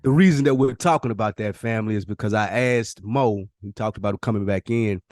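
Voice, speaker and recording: Low-voiced American man hosting, close and dry. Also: fairly quick, a little mellow, no echo. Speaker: podcast host. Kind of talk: casual chat.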